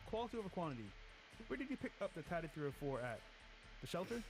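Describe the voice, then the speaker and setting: Man, co-host, slightly high voice, animated, teasing banter. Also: quick pace, music in the background, rough recording. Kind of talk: casual banter, reading out viewer messages.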